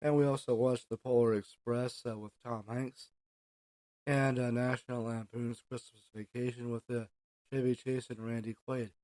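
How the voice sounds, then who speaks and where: A middle-aged man with an American accent hosts a casual solo podcast, rambling along in a halting way. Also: medium pitch, level tone, warm tone, no room sound. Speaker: podcast host.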